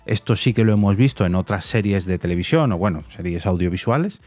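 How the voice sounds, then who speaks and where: A man's voice: sits low, expressive, quick pace, a little mellow, no room sound. A man with an accent from Spain hosting a podcast interview in a conversational style.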